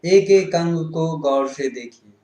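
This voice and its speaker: Male yoga instructor, fairly high pitch, very unhurried delivery of short guided-meditation cues. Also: warm tone. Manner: instructing in short, repetitive phrases. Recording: roomy, echoing sound.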